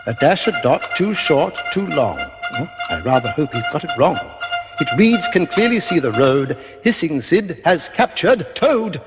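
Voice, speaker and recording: A man's voice: a little high, very animated, a little slow, long reverb tail, over music. Man doing a shrewd, ponderous storybook owl. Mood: pondering. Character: shrewd, pedantic, deliberate male owl.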